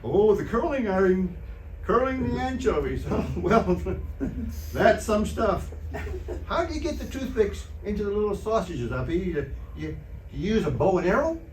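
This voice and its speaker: A man playing a gullible, excitable, wisecracking husband in an old-time radio comedy, with a folksy, stammering, exclamatory delivery. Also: high-pitched, moderate pace. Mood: teasing. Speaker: amateur theater troupe actor. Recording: roomy, distant stage recording with faint noise.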